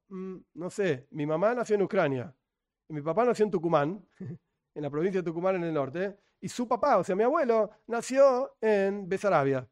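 A middle-aged man with a Rioplatense Spanish accent teaching an informal religious class. His voice sits high for a man. He jumps between explanation, rhetorical questions and teasing, sarcastic remarks aimed at his students. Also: a little mellow. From Argentina, Buenos Aires. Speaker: Torah teacher.

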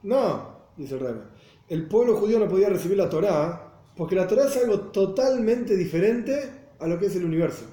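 A man's voice: sits high, expressive, normal speed, mellow. A man from Argentina with a Buenos Aires accent, teaching a religious class and explaining with rhetorical questions. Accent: Buenos Aires Spanish. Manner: explanatory, asks rhetorical questions, checks listeners follow. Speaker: Torah teacher. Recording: roomy echo.

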